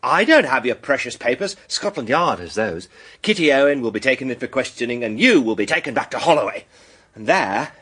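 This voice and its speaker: An actor playing a self-assured, righteous accuser in a radio mystery drama, with emphatic, deliberate phrasing. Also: male voice, medium pitch, big pitch swings, a bit bright, husky. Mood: indignant, righteous. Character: righteous, self-assured male accuser laying out a murder case.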